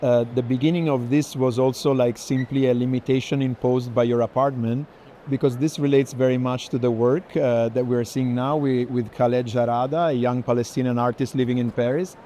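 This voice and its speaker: A man leads a conversation on the move. His tone is dark and relaxed at a medium pitch, and he explains at length. Ambient noise surrounds him. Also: brisk. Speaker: curator hosting a walking tour. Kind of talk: itinerant art conversation. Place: busy art fair. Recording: clear urban ambient noise.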